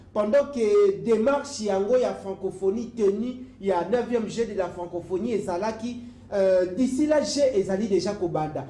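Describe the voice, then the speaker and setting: Congolese interviewer mixing French and Lingala. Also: male voice, sits high, dark tone, reverberant, a little hiss or hum. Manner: mixes French and Lingala, prompting questions. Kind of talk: political interview.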